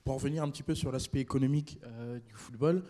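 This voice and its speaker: Male student moderator, mellow mid voice, hesitant questioning delivery in a lecture hall. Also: medium pitch, fast. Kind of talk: asking a panel question.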